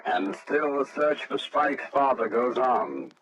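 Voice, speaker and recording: An actor as the solemn narrator of a suspenseful radio serial, measured and dramatic, on an old recording. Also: male voice, mid-range voice, expressive, over music, poor audio.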